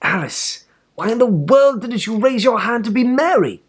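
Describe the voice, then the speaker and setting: A man voicing a brisk, exasperated mother in a storybook, speaking firmly and insistently. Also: extremely high pitch, animated, slightly rough, voice pushed up, exaggerated voice. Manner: firm, insistent. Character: exasperated, brisk, firm mother running a pageant.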